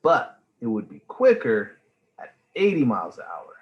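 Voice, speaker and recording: A man's voice: medium pitch, huge pitch swings, normal speed, dull audio. A man voicing a smug, know-it-all young brother in a storybook, matter-of-fact and needling.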